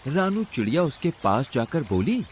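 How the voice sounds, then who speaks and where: A man's voice: mid-range voice, very animated, moderate pace, a little hiss or hum. A man narrating a scripted animal fable as a storyteller for a cartoon.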